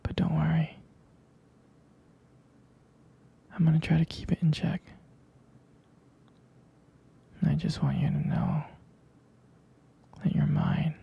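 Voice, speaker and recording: Man acting a whispering, gravelly jealous boyfriend. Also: a little high, level tone, lo-fi sound, heavily acted. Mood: tender, protective. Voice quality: breathy, husky whisper with gravelly fry. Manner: hesitant, slow, halting, soft-spoken. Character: jealous, protective, devoted young boyfriend, tender and possessive. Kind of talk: intimate ASMR boyfriend roleplay.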